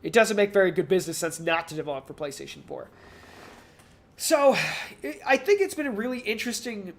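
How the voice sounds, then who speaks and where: A man's voice: sits high, sing-song, fairly quick. A man speaks as a podcast co-host in a casual discussion. His delivery is rambling and analytical, as he works through his points aloud and often paraphrases others in mock quotes. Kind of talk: casual video game industry discussion.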